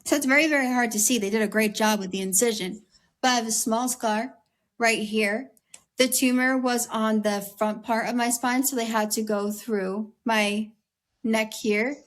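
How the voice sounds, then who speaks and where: A young American woman, a guest in an interview, speaking candidly in an earnest, conversational way with a slightly raspy voice and many "like"s and "um"s. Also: fairly high pitch, fairly even intonation, normal speed. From United States. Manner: earnest, heavy use of "like" and "um".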